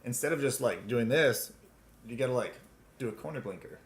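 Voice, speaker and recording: A young man co-hosting a casual comedic chat, giggly and animated as he tells stories. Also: medium pitch, fairly quick. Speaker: co-host.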